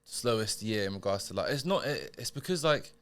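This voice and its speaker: Thirties man, podcast guest; low voice, rapid rambling chat. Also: expressive, fairly bright tone. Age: 30s. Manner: rambling, filler-heavy, lots of "like". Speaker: podcast guest, model and actor. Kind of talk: casual chat.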